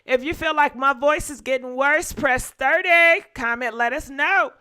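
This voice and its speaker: A woman's voice: sits high, measured pace, bright, forceful tone. A woman hosting a live gossip call-in show, acting out a preachy role play and teasing her callers.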